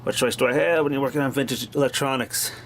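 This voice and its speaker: A man's voice: mid-range voice, steady tone, fast talker, fairly bright tone. A man giving a casual, off-the-cuff repair narration as a hobbyist, plain-spoken and informal with some mild swearing. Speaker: hobbyist repairer of vintage tape machines. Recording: clearly noisy amateur video recording.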